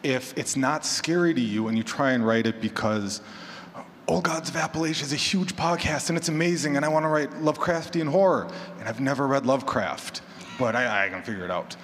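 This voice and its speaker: A man's voice: mid-range voice, a little fast, fairly bright tone. A man speaking informally as a panelist at a conference session. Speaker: panelist, horror podcaster. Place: large indoor conference session room. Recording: reverberant hall with faint noise.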